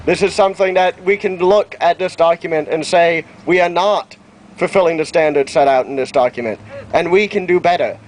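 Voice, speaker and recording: A man speaking publicly outdoors, paraphrasing a document in a halting, deliberate way. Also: fairly high pitch, poor audio. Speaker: public speaker at a rights event. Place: outdoor town plaza. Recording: outdoor recording with faint street noise.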